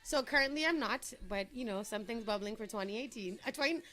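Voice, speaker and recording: A woman's voice: a little high, fast talker, pushed and bright, faint music behind, rough recording. Upbeat talkative Trinidad-born woman. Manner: upbeat, talkative, laughs easily. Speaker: entrepreneur guest. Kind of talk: casual interview chat.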